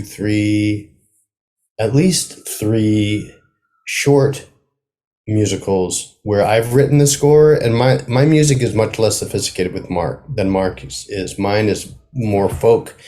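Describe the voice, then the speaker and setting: Male playwright guest, lower-pitched, conversational, searches for words as he goes. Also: a little mellow. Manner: halting, thinking aloud. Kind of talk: casual interview conversation.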